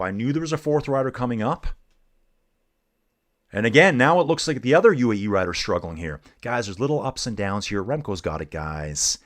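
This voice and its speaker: A middle-aged man casually commenting on a bike race live and chatting with viewers, laid-back and saying "dude" a lot. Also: on the low side. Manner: laid-back, says "dude" often, reads chat aloud.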